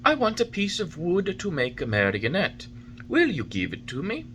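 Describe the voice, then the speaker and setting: A reader voicing a hot-tempered little old male woodcarver who talks in an eager, indignant way and flares up quickly. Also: wildly swooping pitch, fairly bright tone, a little hiss or hum. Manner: shrill, indignant, quick to anger.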